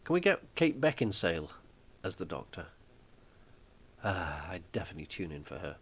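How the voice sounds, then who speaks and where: A man hosting a live stream from his small flat, chatting casually and rambling with lots of ums and restarts. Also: sits low, moderate pace, creaky, slight background noise. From Wales.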